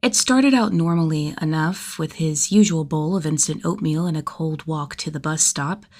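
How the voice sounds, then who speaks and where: Female narrator for fan fiction audiobook: lowish pitch, expressive and conversational read with youthful enthusiasm. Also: moderate pace. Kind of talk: animated scripted story narration.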